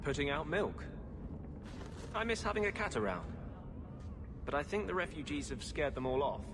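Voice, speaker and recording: An actor playing an earnest, brooding rebel mage in a video game, speaking with impassioned intensity. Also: male voice, fairly high pitch, big pitch swings, moderate pace. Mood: wistful, grateful. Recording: clear ambient noise behind voice.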